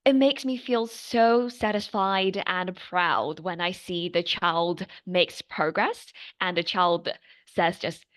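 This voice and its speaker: A young Chinese-accented woman speaks in a somewhat low voice, earnest and steady. She is a guest answering questions and keeps pausing on fillers and restarting phrases. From China. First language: Mandarin. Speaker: guest tutor. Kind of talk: interview answers.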